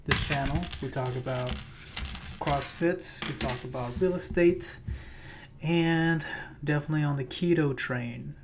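A man hosting his own podcast in a loose, chatty way with many fillers, his voice a little raspy. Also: medium pitch, a little mellow. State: slightly raspy voice. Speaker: podcast host and realtor. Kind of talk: casual solo podcast talk. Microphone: plugged-in microphone.

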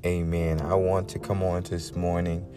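A man's voice: low-pitched, level tone, normal speed, music in the background. A man from the Bahamas, praying and exhorting earnestly as a preacher, often punctuating his words with amens. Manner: earnest, punctuated with "amen" and repeated invocations. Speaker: preacher leading a morning prayer. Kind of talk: devotional talk and extemporaneous prayer.

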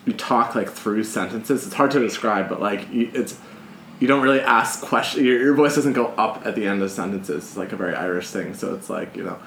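Rambling young podcast host, echoey room. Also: male voice, lowish voice, brisk, gravelly, fairly bright tone. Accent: Boston. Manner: rambling, hedging with fillers. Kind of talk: casual podcast chat. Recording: echoey room with faint noise.

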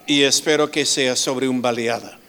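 A middle-aged man preaching as a guest speaker, slow and full of pauses and restarts, with humorous asides. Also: mid-range voice, steady tone, fairly bright tone, slight background noise. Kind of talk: sermon.

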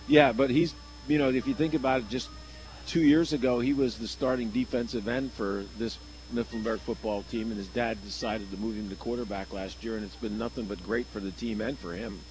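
A man giving unscripted football analysis as a radio color commentator, halting and repeating words as he thinks aloud. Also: mid-range voice, steady tone, a little mellow, poor audio.

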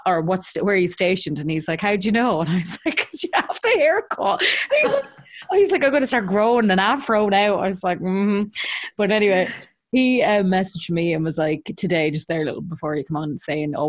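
An Irish woman co-hosting a podcast, telling stories in a chatty way and laughing often. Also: lowish voice. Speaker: podcast co-host. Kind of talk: casual chat.

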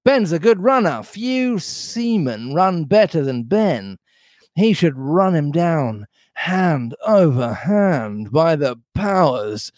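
A man voicing a wily, blustering pirate sea-cook from a storybook, with drawn-out, emphatic delivery. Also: a little high, sing-song, darkish tone, no echo, studio quality, pitched above the performer's own voice. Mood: excited, scheming. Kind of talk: storybook read-aloud character voice.

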